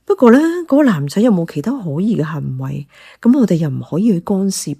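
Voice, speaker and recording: A woman's voice: fairly low pitch, sing-song, fast, vocal fry, over music. A woman narrating a radio drama in the first person as an observant café worker, in a scripted storytelling style. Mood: calm, observant.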